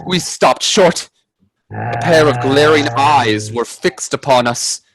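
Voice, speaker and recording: A man playing an earnest, uneasy gentleman adventurer in a radio play, telling his tale with theatrical, hesitant suspense. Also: mid-range voice, expressive, slow, gravelly, over music, rough recording. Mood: suspenseful, dread. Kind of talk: dramatic radio play narration and dialogue.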